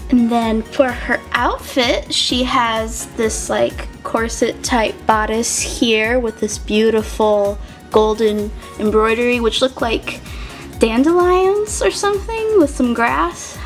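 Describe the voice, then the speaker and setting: A woman co-hosting a casual, unscripted review, describing things in a gushing way. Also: a little high. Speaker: co-host of a toy review. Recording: music behind the voice.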